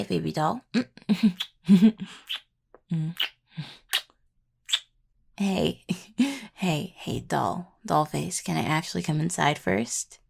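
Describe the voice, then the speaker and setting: A woman playing an affectionate, teasing necromancer in an intimate audio roleplay, talking softly and playfully to a partner, with a husky, gravelly voice. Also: on the low side, full character voice. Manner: soft, playful, casual delivery with sighs and murmured asides. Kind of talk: intimate audio roleplay acting, addressed to a listener.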